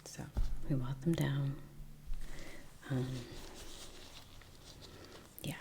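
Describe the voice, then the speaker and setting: A woman talking in hushed, mostly whispered ASMR style while painting, unhurried with long pauses. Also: extremely low pitch, gravelly. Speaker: ASMR artist and video host. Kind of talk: soft-spoken ASMR chat while painting. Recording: steady heater hum in background. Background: heater hum, soft brush sounds.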